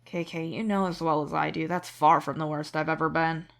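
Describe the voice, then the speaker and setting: A woman's voice: low voice, level tone, fairly quick, gravelly. A tired young man played by a woman in a narrated audio drama. He sounds sleep-deprived and slightly mumbly, stubborn about himself yet devoted and reassuring toward others. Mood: sleepy.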